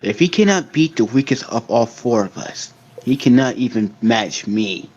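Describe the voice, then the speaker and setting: A boastful young villain who speaks slowly and plainly, as a man puts him on. The sound is dull and lo-fi, with a middle pitch. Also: lively intonation, darkish tone, lightly acted. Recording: dull lo-fi recording.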